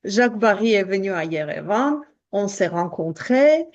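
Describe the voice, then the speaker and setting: A woman's voice: mid-range voice, expressive, unhurried, muffled recording. A woman from Armenia, a native Armenian speaker, talking carefully as a guest on a radio show, with a light laugh now and then. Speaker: translator.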